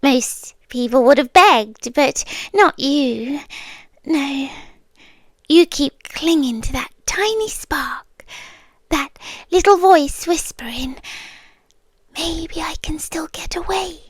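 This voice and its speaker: A woman with a British accent playing a possessive, softly menacing yandere girlfriend in a hushed, intimate ASMR roleplay, close to the microphone. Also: high voice, no echo. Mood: taunting, mock admiring. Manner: hushed, often whispering, deliberate pauses.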